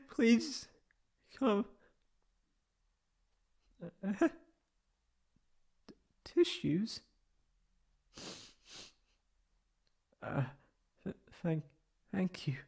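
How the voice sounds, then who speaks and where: A man's voice: high-pitched, very animated, drawn-out words, pauses often, heavily acted. A man voicing a proud but insecure, emotional tsundere catboy in an ASMR roleplay, with hesitant, stammering speech. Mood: distraught, tearful.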